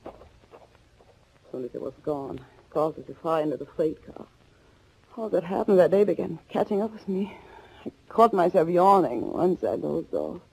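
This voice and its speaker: A woman's voice: lowish voice, expressive, moderate pace, vocal fry, long pauses, darkish tone, husky, heavily acted. An actress playing a frightened, tense woman on the run, narrating her ordeal with dramatic 1940s radio thriller acting. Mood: tense recounting. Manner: dramatic, breathless, suspenseful delivery. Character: frightened young woman fugitive named Anna, tense, recounting her ordeal. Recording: noisy old 1940s radio recording.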